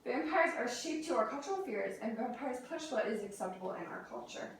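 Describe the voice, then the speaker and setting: A woman's voice: on the high side, lively intonation, brisk. A young adult woman delivering a prepared persuasive speech as a student, reading out structured points and sometimes stumbling over words. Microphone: distant camera or room mic. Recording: very echoey room, distant mic, faint noise.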